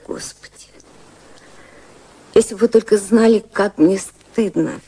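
A proud, romantic young society lady in a radio drama. Her voice is mid-pitched and warmly muted. She talks formally and frankly, in measured phrases. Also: slightly rough. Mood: ashamed. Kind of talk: radio play acting.

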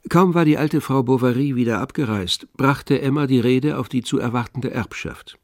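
A man narrating a literary radio drama in a measured, composed storytelling manner. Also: medium pitch, a little mellow, soft background music. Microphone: close, dry studio microphone.

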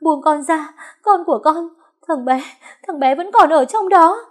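A desperate young mother in a dramatic audio story. She wails at a very high pitch, loud and strained, begging in broken repetitions. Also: measured pace. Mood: anguished, pleading.

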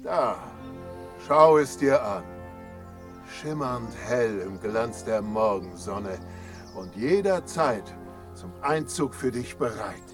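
Slow-spoken proud giant. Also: male voice, medium pitch, lively intonation. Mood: proud, demanding. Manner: deliberate, declamatory. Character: proud male giant builder demanding his wage. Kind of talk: German fantasy radio drama acting. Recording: loud music over the voice.

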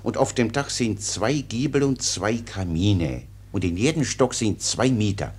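An actor playing a cheerful, simple-minded soldier who rambles with naive, obedient earnestness in a German radio play. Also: male voice, on the low side, expressive, unhurried, a little mellow, husky. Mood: naively obliging.

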